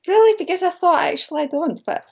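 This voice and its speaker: A woman's voice: extremely high pitch, expressive, quick pace, vocal fry, a little mellow. Latvian woman on a lo-fi call. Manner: hesitant, many fillers and restarts. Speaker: guest medium and shamanic practitioner. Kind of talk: casual interview chat. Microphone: video call.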